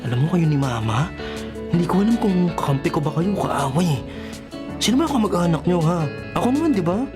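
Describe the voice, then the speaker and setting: A man's voice: sits high, very animated, fairly quick, over music. A man playing a flustered, lovestruck young son in a Filipino radio drama, complaining in a Filipino accent. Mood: exasperated. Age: young adult.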